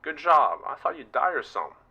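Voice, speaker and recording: A man plays a cocky soldier comrade for a game voice line. The delivery is casual and brash, with a very bright, forceful voice and lively pitch. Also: fast, slightly rough, dull audio, full character voice. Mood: approving.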